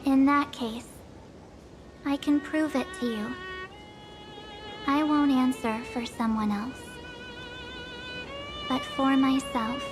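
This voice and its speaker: A enigmatic young spirit girl from a video game, high in pitch and very unhurried. She is calm, gentle and solemn, with string music clearly playing behind her. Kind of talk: English video game voice acting.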